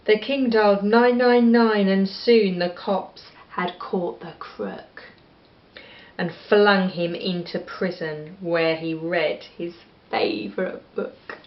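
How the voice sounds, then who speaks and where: A woman with an English accent reading a children's story aloud in an expressive style. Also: mid-range voice, takes it slowly. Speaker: storybook reader. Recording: echoey room, slightly dull sound.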